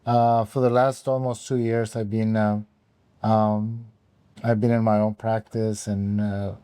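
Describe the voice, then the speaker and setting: A man, an OB/GYN guest telling his career story in halting, unhurried speech full of ums. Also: fairly low pitch, level tone, mellow, clear, resonant tone, no echo. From Mexico. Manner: halting, with frequent ums and restarts. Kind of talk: conversational interview answers.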